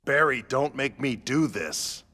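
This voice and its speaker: An actor playing a hard, unrepentant superhero enforcer in a video game, blunt and curt. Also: male voice, medium pitch, lively intonation, unhurried, pushed and bright, a little hiss or hum. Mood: threatening. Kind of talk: video game cutscene acting.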